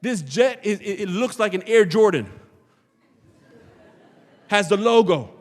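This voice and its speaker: A man's voice: sits high, expressive, a little slow. Emphatic male preacher in a reverberant hall. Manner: emphatic, rhetorical, repeats phrases for effect. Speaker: pastor preaching. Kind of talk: animated church sermon. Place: large church hall, before a congregation. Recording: long hall reverb, church sanctuary PA.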